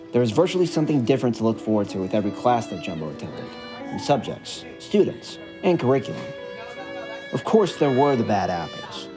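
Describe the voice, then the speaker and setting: A man reading a scripted story narration as the storyteller of an audio drama. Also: fairly low pitch. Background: music.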